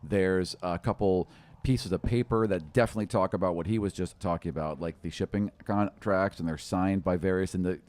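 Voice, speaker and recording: A man's voice: sits low, fairly even intonation, brisk, a little mellow. A man in his forties casually narrating an improvised tabletop game as game master, close to a studio microphone. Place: small podcast studio. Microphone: close podcast microphone on boom arm.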